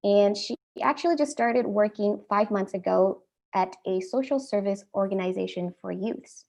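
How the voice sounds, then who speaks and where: A young adult woman with an Indian accent, hosting an online panel talk in a friendly, measured way with frequent ums. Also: mid-range voice, creaky, a little mellow. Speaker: host.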